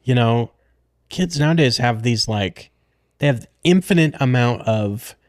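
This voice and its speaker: A man's voice: mid-range voice, lively intonation, unhurried, no room sound, studio quality. A man in his mid-thirties, co-hosting a podcast, chatting casually and telling rambling stories full of fillers. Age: 30s. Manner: rambling, filler-heavy storytelling. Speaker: podcast co-host. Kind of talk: casual chat.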